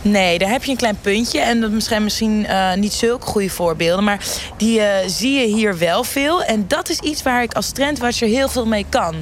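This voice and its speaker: A woman's voice: mid-range voice, normal speed, close to an everyday voice. Female trendwatcher, played by an actress: breezy, self-absorbed, scatterbrained, slangy; radio satire, light outdoor noise. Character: gushing, self-absorbed, shallow woman trendwatcher interviewed while shopping. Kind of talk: mock street interview in radio satire. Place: outdoors on a shopping street.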